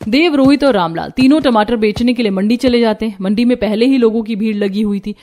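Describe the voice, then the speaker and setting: A woman's voice: a little high, a little fast, mellow. A woman narrating a scripted cartoon story as its storyteller.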